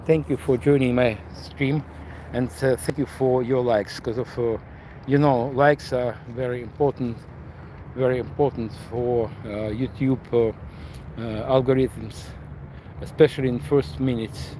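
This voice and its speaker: A man with a Ukrainian accent talks slowly in English, pausing often on "uh" as he streams live from a city street. His voice sits at an ordinary middle pitch. Traffic and street noise carry clearly behind him. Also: steady tone, dark tone. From eastern Ukraine. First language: Russian or Ukrainian. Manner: halting, many "uh" fillers, slow. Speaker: tour guide and live streamer. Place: outdoors at a memorial in a city street. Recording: noisy outdoor city street. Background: city traffic and sirens.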